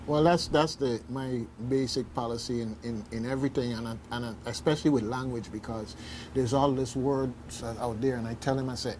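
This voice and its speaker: A man's voice: mid-range voice, steady tone, normal speed, darkish tone, poor audio. A man hosting an informal radio discussion, emphatic and often checking in with a questioning "okay". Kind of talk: informal talk show discussion. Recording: faint background noise.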